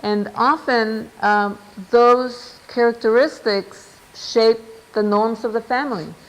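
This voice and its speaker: A middle-aged woman lecturing at an academic seminar. She speaks in a measured, thoughtful way, pausing with fillers and asking "right?" to keep her listeners with her. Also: a little high, fairly even intonation, highs cut off. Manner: measured, reads out quotes, frequent ums and "right?". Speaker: researcher giving a seminar talk.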